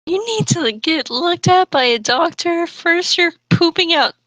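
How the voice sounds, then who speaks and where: A woman's voice: very high-pitched, animated, unhurried, fairly bright tone, no room sound, poor audio. A woman reading fan fiction aloud for comedy, breaking into casual, playfully exasperated commentary.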